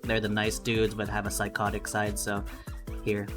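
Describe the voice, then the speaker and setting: A man's voice: on the low side, completely flat delivery, moderate pace, over music. A man who does amateur voice acting, chatting casually and thinking aloud as a laid-back, informal vlog host.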